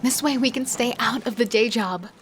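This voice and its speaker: A woman acts out a confident female serial killer in a horror audio drama. She is sly, scheming and seductive, relishing the thrill as she persuades. Clear boat-like ambient noise sits behind her voice. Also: on the high side, normal speed, close to an everyday voice. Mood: persuasive, calculating. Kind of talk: audio drama acting with first-person narration.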